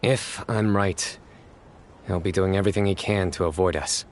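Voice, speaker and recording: An actor playing a stern, reserved young desert warrior investigator who speaks tersely in a video game. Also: male voice, sits low, steady tone, normal speed, slight background noise, close to an everyday voice. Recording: game music behind.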